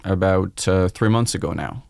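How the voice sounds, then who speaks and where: A man's voice: deep voice, normal speed, gravelly, darkish tone, no room sound. A man in his forties with a French accent, a chef guest speaking openly and reflectively on a podcast. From France. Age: 40s. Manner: reflective, open, quoting his own thoughts aloud. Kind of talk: candid podcast conversation.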